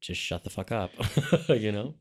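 A man's voice: on the low side, lively intonation, normal speed, darkish tone. A man co-hosting a podcast, talking candidly and animatedly, swearing freely. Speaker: podcast co-host. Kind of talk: casual podcast conversation.

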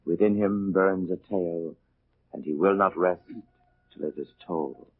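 A man's voice: low voice, a little hiss or hum. A man acting as the solemn, theatrical host of a 1940s radio mystery show, narrating with grandiose drama. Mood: brooding, atmospheric. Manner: slow, dramatic, grandiose delivery. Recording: dull 1940s radio recording with music.

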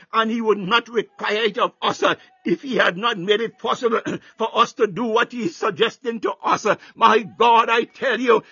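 A very high-pitched man delivers a fervent sermon in a dry, dull-sounding recording. He is emphatic and forceful, repeating phrases and punctuating his preaching and prayer with exclamations of praise. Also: husky, no echo.

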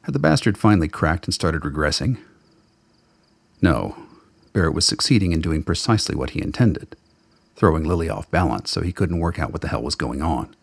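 Very deep gravelly male narrator. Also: sing-song, fairly quick, no room sound. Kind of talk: scripted dramatic narration of a radio play.

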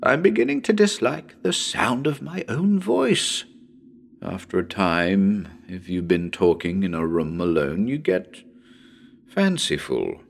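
A man's voice: low-pitched, wildly swooping pitch, takes it slowly, creaky, a little hiss or hum, heavily acted. A man playing a nervous radio broadcaster on a ghost hunt in a dramatic reading, talking to listeners in a chatty, hesitant, uneasy way. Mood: rattled, gloomy. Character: nervous male radio broadcaster ghost hunting in haunted house.

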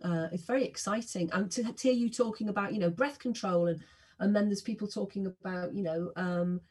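A woman's voice: mid-range voice, brisk, darkish tone, breathy edge. A woman of sixty, a singer and podcast guest, chatting enthusiastically and with self-deprecating humour. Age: 60s. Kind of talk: casual interview chat.

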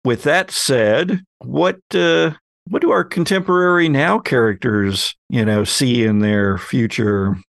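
A man hosting a casual podcast chat in a halting, measured way. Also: mid-range voice, vocal fry, no room sound, clean studio sound. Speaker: podcast host.

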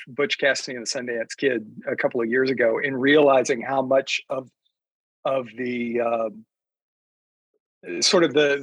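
A man speaks in a casual, reflective way as a guest author on a panel. He is halting, stopping mid-sentence to find his words. The voice is husky and fairly dry, with little room sound. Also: mid-range voice, a bit bright, no echo, muffled recording. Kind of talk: casual unscripted panel talk.

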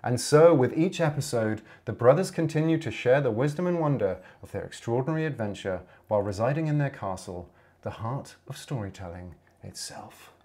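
A man's voice: medium pitch, animated, fairly quick, darkish tone. Man reading a playful storybook tale. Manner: reads with playful storybook emphasis, laughing between lines. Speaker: podcast co-host. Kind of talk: reading a story aloud, mixed with casual banter.

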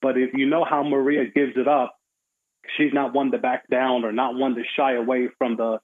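Tinny phone call audio, guy with a medium voice giving his sports takes, opinionated but measured. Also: mid-range voice, little pitch movement. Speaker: guest sports commentator. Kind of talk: conversational sports commentary. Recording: tinny narrow phone-like line.

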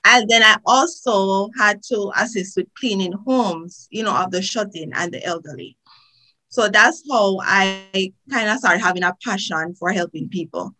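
A woman with a Jamaican accent speaks as a podcast guest. Her voice is bright and forward. She tells her story in a loose, chatty way, full of little fillers. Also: mid-range voice, moderate pace, rough recording. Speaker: guest, tax lawyer. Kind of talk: podcast interview.

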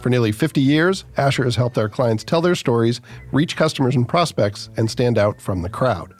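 A man's voice: medium pitch, animated, soft background music. A man hosting a marketing podcast, asking questions in a friendly, conversational way. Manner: friendly, asks questions with quick agreeing replies. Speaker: podcast host. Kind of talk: conversational interview.

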